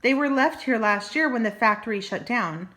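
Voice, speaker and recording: A woman's voice: a little high, normal speed, pushed and bright. An older woman, a grandmother, reading a children's story expressively with small asides, in an echoey room. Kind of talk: children's storybook read-aloud.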